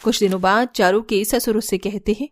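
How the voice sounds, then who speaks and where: A woman's voice: medium pitch, fairly even intonation, moderate pace. A woman giving scripted narration for a cartoon family story.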